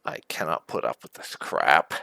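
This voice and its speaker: A man's voice: moderate pace, sharp tone, no echo, in a whisper. A man giving casual gameplay commentary as host, with dry, muttered asides. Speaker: gaming channel host.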